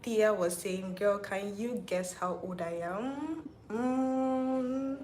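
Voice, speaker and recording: A woman's voice: medium pitch, drawn-out words, darkish tone, soft background music. A Nigerian woman in her twenties chatting casually on a livestream, reading viewer comments aloud and often saying "like". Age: 20s. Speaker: YouTuber hosting a live Q&A. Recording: roomy echo.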